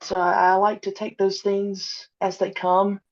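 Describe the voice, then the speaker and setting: A woman being interviewed about her audio drama, speaking hesitantly with many pauses and fillers. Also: mid-range voice, little pitch movement. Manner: hesitant, many ums and uhs. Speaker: podcast guest, audio drama creator. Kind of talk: casual interview chat. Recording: dull lo-fi audio.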